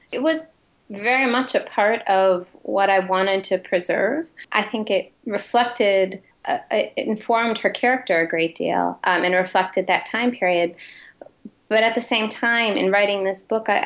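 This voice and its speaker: A woman's voice: medium pitch, muffled recording. A woman author who grew up in the United States speaking Ukrainian first, giving a thoughtful interview with frequent restarts. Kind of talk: interview guest.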